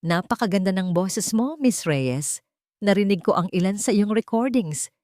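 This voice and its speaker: A woman voicing a cold, wealthy matriarch who speaks softly with menacing, controlled authority in an audio drama. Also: mid-range voice, lively intonation, moderate pace, no echo, clean studio sound, natural voice. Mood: falsely gracious. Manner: soft-spoken, controlled, commanding.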